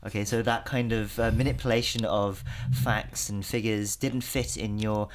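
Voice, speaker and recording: A man hosting a radio interview in a measured way, with frequent fillers and short acknowledgements. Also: fairly low pitch, fairly even intonation, a little hiss or hum.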